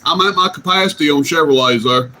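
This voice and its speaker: A man hosting a CB radio night, chatting casually and telling drawn-out stories. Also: a little high, noisy background. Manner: drawling, easygoing storyteller. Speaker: CB radio host.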